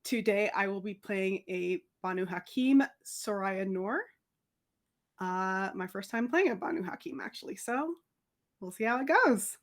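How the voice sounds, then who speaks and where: A woman's voice: medium pitch, expressive, unhurried. A woman chatting casually as a player in a roleplay game. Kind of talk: casual chat.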